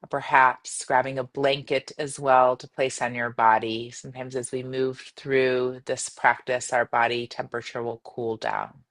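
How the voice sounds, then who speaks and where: Woman meditation guide: gentle, soothing delivery, measured phrasing, long pauses between instructions. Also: a bass voice, level tone. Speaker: yoga nidra meditation guide.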